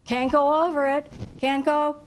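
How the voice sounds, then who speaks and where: A woman reading a picture book aloud to children in an expressive, chanting, sing-song way, engaging them with questions like a teacher. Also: sits high, unhurried, slight background noise, poor audio. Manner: asks listeners questions like a teacher. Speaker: volunteer story reader for children.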